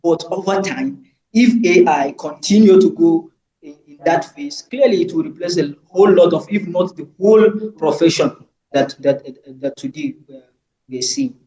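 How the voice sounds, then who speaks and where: Male panelist, slightly high voice, pushy debater, roomy echo. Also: expressive, moderate pace, warm tone, highs cut off. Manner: argumentative, insistent, with repeated restarts. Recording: echoey room.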